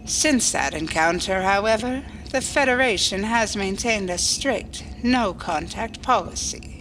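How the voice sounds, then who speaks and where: A woman's voice: medium pitch, animated, measured pace, fairly bright tone, a little hiss or hum, rough recording. A woman playing a coolly logical alien starship officer, formal, precise and unemotional, in a science fiction audio drama. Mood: formal, detached.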